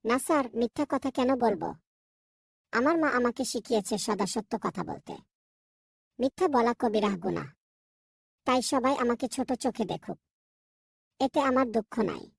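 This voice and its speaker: A woman voicing a calm, honest, pious young schoolboy in a cartoon, speaking politely and earnestly. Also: a little high, moderate pace, gravelly, pauses often, husky, no room sound, clean studio sound, heavily acted. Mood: earnest, firm. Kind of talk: cartoon voice acting.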